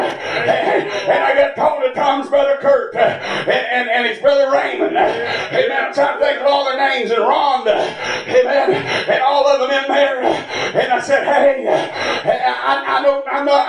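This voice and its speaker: Hoarse high-voiced preacher, shouting. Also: male voice, distant mic. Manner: preaching in bursts with constant "uh" and "amen" fillers. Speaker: pastor. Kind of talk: shouted sermon. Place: church sanctuary. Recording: echoey church hall from afar. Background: congregation.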